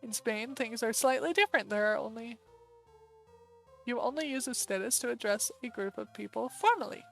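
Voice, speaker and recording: A woman with an American accent, casually chatting through a live stream and thinking aloud with quick asides. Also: a little high, creaky, soft background music. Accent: American English.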